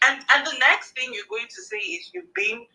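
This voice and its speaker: Woman, from Cameroon, live caller, persistent questioning, bright lively voice. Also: very high-pitched, normal speed, vocal fry, poor audio. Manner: persistent, keeps pressing her question. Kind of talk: live call-in argument.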